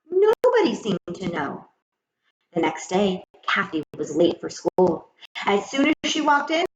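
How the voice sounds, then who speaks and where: Woman doing a storybook read aloud, really lively up and down voice, echoey slightly muffled room. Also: fairly low pitch. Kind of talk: expressive scripted children's story narration.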